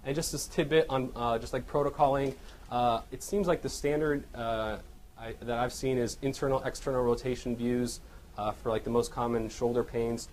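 A man presenting radiology cases as a teaching lecture, halting and often correcting himself, with questions to his listeners. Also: medium pitch, steady tone, darkish tone. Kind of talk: medical case lecture with questions to the audience. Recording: noisy live lecture room recording.

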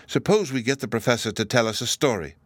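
A man's voice: mid-range voice, lively intonation, fast, fairly bright tone, no echo. A man voicing a prim, bossy but caring young girl in a storybook, careful and chiding. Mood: coaxing.

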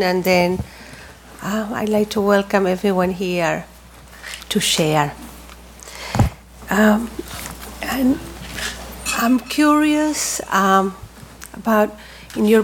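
A woman moderates a panel, speaking very slowly and haltingly as she searches for her words. Her voice sits in a middle range, with faint background noise behind her. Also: medium pitch.

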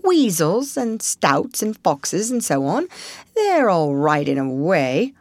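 A woman's voice: on the low side, very animated, takes it slowly, darkish tone, no room sound, exaggerated voice. A woman voicing a genial, talkative male water rat in a storybook, with an emphatic, opinionated manner. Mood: hesitant, firm. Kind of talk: storybook character reading.

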